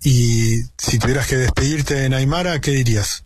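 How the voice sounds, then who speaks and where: A man's voice: medium pitch, moderate pace, husky, no room sound, studio quality. A man hosting a community radio show, asking questions and signing off. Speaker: radio host.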